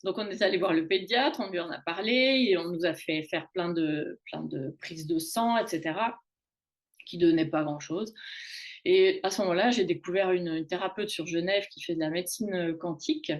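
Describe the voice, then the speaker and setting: Woman in her late forties, halting chat. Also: fairly low pitch. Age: late 40s. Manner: halting, many restarts and fillers. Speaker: guest on a women's wellness talk show. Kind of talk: casual conversational talk. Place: in Canada.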